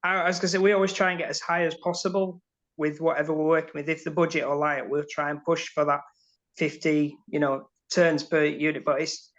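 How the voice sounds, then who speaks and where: A man's voice: on the high side, level tone, quick pace, a bit bright. Builder guy rambling on a webinar, muffled call audio. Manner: earnest, frustrated, rambling. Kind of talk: casual chat on a webinar call. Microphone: video call.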